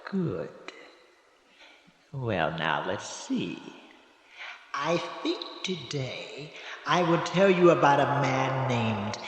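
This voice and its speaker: In a reverberant, faintly noisy space, an old morgue attendant played by a man tells tales for a radio play. He is courteous and hesitant, speaking very slowly with animated swings of pitch. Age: older. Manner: halting, courteous, full of hesitant ehs and uhs. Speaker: storyteller host of a radio horror show. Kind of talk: old-time radio storytelling. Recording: echoey hall reverb, faint noise.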